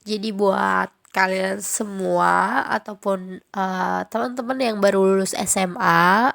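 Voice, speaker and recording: A woman's voice: mid-range voice, fairly even intonation, slow, vocal fry, a bit bright. A young woman with a Jakarta slang accent, nasal from a cold, chatting casually on her own podcast with frequent fillers. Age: young adult. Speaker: podcast host.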